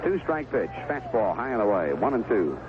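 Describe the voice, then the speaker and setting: Male radio baseball play-by-play announcer, animated delivery, narrow phone-like band with clear background noise. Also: fairly low pitch, moderate pace. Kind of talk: live radio baseball commentary. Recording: narrowband noisy 1970s AM radio broadcast.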